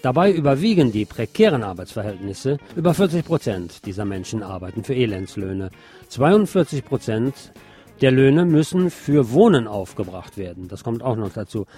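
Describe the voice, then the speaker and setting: A man's voice: mid-range voice, very animated, normal speed, warm tone, breathy edge, soft background music. A man presenting scripted radio news, with brief asides dropped in between the items.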